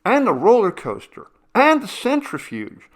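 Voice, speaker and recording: A man doing a bubbly teenage girl, pitched way up. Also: unhurried, exaggerated voice. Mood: gleeful, eager. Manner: excited, emphatic. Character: gleeful, bubbly teenage girl, eager and headlong. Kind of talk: storybook character voice.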